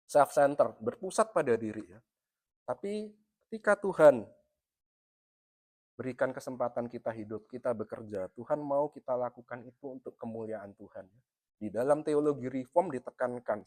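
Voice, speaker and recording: A man's voice: mid-range voice, a little mellow, no echo. A middle-aged man from East Java with a Javanese accent, preaching a sermon in an easy, chatty way, ending phrase after phrase with a little tag and speaking straight to the congregation. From East Java, Indonesia. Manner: conversational, tags nearly every phrase with "ya"; addresses the congregation often. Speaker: pastor.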